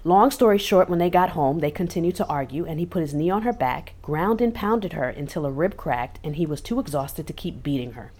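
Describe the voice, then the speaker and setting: A woman's voice: low-pitched, animated, a little fast, few pauses, darkish tone. A woman co-hosting a casual podcast, telling stories in a chatty way with emphatic asides. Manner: conversational storytelling, emphatic asides. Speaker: podcast co-host.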